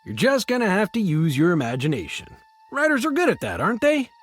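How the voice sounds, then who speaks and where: A man playing an easygoing, gently teasing small-town police officer in a video game, with a casual, dry delivery. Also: high-pitched, huge pitch swings, brisk, full character voice. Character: easygoing male small-town cop, mildly teasing and dismissive.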